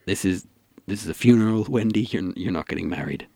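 A man's voice: lowish voice, fairly quick, creaky, warm tone, no room sound, studio quality, voice pushed down. A man playing an earnest, hesitant eulogist in a comedy radio drama. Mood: awkward. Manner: solemn, hesitant. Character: earnest, awkward man giving a funeral eulogy.

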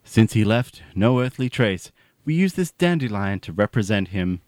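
A man playing a sincere, plain-spoken mourner in a comedy radio drama, earnest and measured. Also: on the low side, very animated, darkish tone, no echo, clean studio sound.

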